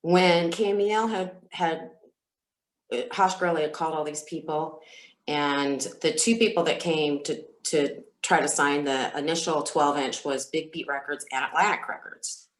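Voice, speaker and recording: Woman, deep-pitched, singer, interview guest, casual, reflective, halting, candid, echoey room. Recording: roomy, echoey sound.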